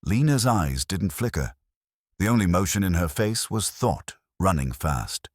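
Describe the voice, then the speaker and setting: Very deep husky male detective-story narrator. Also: very animated, a little slow, no room sound, studio quality. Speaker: audiobook narrator. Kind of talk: scripted first-person detective story narration.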